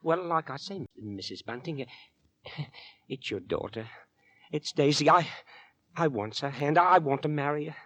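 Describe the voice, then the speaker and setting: A man's voice: a little high, expressive, measured pace, a bit bright, no echo. A man playing a bashful, earnest young working-class suitor in an old radio drama, folksy, hesitant and deferential. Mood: nervous, bashful.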